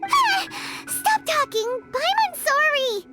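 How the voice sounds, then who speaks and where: A woman voicing a small, childlike, excitable fairy companion with a squeaky voice in a video game. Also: slow, loud music behind the voice, heavily acted. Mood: flustered. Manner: refers to herself in the third person.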